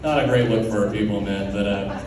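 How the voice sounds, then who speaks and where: A man's voice: on the low side, fairly even intonation, a little fast, a crowd in the background. A young man from Oklahoma doing a stand-up comedy set, with a laid-back delivery full of fillers. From Oklahoma, USA. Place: comedy club stage. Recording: very echoey club room from far off. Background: audience laughter.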